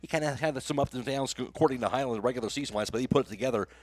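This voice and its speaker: A man's voice: medium pitch, racing, no room sound. An American man calling a baseball game live as a radio play-by-play announcer, in clipped phrases that follow the action.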